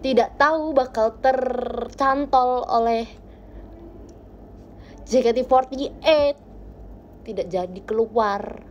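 A young woman hosting a casual livestream from her bedroom, chatting with viewers into a phone held close. She talks playfully, with quiet murmurs that jump into bright, lively bursts. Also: high-pitched, slow, long pauses, dull audio, a little hiss or hum. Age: young adult. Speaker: idol livestream host. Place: small bright bedroom indoors. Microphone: phone camera close to face.

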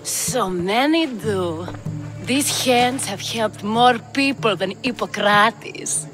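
A woman's voice: fairly high pitch, slow, poor audio. A woman voicing a worldly, flirtatious courtesan who speaks in a dry, teasing way, with game music behind.

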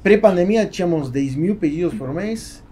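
A man in his forties with a Spanish accent in Portuguese, talking casually and haltingly as a podcast guest. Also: high voice, animated, mellow. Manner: halting, with repeated words. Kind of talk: casual interview talk. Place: small foam-padded podcast studio. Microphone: close studio microphone on boom arm. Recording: faint background noise.

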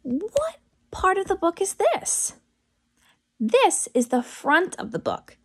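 High, animated young woman teaching small children. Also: takes it slowly. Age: young adult. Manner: expressive, addressing small children with questions. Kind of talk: story read-aloud and lesson for young children.

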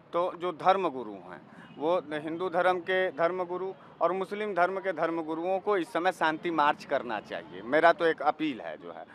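A man's voice: sits high, a bit bright. A man in his thirties with an eastern Uttar Pradesh accent, arguing emphatically in a street interview. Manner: emphatic, argumentative. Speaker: local interviewee. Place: outdoors, seated by a street wall. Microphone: handheld reporter's microphone. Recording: faint street noise.

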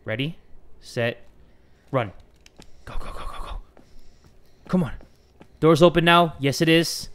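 A young man in a home room on a headset, hosting a horror game playthrough, swinging from loud yelling to hushed talk. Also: fairly high pitch, measured pace, pauses often, clean tone. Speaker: gaming video host. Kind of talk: energetic horror game commentary.

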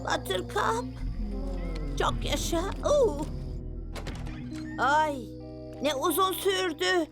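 A woman's voice: very high-pitched, animated, extremely slow, pauses often, over music, full character voice. A woman voicing a cocky, grumpy little superhero girl in a cartoon dub, brash and exclamatory. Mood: strained, baffled. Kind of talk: animated cartoon dub acting.

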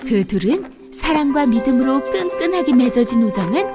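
High-voiced woman telling a children's fairy tale. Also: moderate pace, music in the background. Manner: expressive storybook delivery. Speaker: storyteller.

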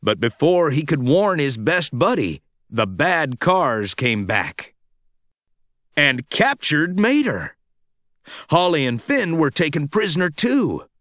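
A man narrating a scripted children's read-along storybook with a dramatic, expressive delivery. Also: a little high, slow, dry recording, clean studio sound.

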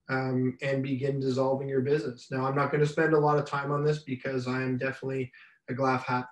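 A man, giving a business webinar with many fillers and restarts, his voice slightly raspy from much talking. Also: medium pitch, a bit bright. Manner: halting, many fillers and repeated starts. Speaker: business mentor presenting a webinar. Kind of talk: unscripted slide presentation. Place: home room, indoors. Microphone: webcam on a video call. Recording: roomy webcam sound from a distance.

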